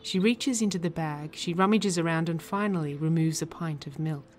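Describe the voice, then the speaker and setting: A woman narrates a script with a notably low voice, reading stage directions in a measured, deliberate way. Faint music plays beneath her.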